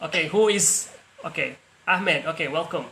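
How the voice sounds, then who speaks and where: Bright-voiced young Indonesian man. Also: a little high, moderate pace, roomy sound, a little hiss or hum. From Palu, Central Sulawesi, Indonesia. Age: young adult. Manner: hesitant, restarts often, laughs easily. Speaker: host of a language-practice video call. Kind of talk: casual conversation practice.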